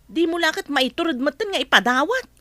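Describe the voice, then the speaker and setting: Casting: reproachful, principled wife for radio drama; high register, dry sound. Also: female voice, lively intonation, fairly quick, a bit bright, no room sound. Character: exasperated, principled wife standing up for her parents. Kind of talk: Ilocano radio drama acting.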